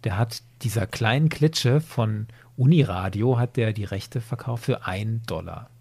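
Low, husky-voiced male podcast host. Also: unhurried, darkish tone. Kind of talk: casual podcast discussion.

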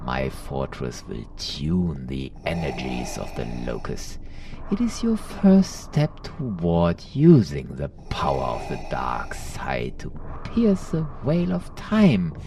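An actor playing an ancient, sinister Sith Lord spirit in an audio drama, tempting and theatrical, with a breathy, whispery voice. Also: very deep voice, wildly swooping pitch, distant mic, background noise, full character voice. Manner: slow, drawn-out, theatrical. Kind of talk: audio drama villain acting.

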